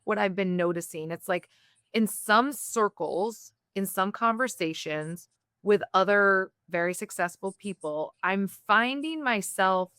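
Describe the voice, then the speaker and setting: A woman from the United States hosting an interview, talking in a candid, reflective way with quick bursts. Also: medium pitch, bright, forceful tone, smooth, no room sound, clean studio sound. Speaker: podcast host. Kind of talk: conversational interview.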